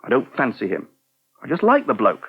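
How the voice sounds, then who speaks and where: A helpful, touchy young English restaurant worker in a vintage British radio soap. His voice is rough-edged and very lively in pitch, with brisk, plain delivery. The sound is narrow and thin, like an old broadcast tape. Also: full character voice. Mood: irritated, defensive. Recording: thin, band-limited old radio recording.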